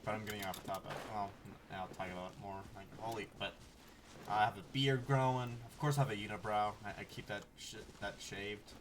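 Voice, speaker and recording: Low-voiced young man on a noisy distant mic. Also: steady tone, brisk. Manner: blunt, swears freely. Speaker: game streamer. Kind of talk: casual rambling gameplay commentary. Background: game sounds, clicking.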